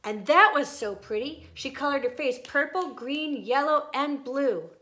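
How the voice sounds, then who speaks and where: A woman who is a teacher reading a picture book aloud to children, expressive and emphatic, with asides to her young listeners. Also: high-pitched, unhurried.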